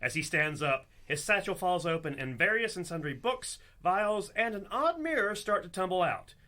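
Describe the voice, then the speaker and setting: A man's voice: sits high, big pitch swings, moderate pace, pushed and bright, exaggerated voice. A man acting as the theatrical narrator of a fantasy comedy radio play, reading scripted scene descriptions. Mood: wry storytelling.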